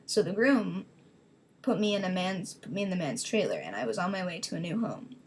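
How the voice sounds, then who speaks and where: A woman's voice: fairly low pitch, lively intonation, fairly quick, slightly rough. A woman giving a dramatic reading of her own childhood story, rambling into self-mocking casual asides.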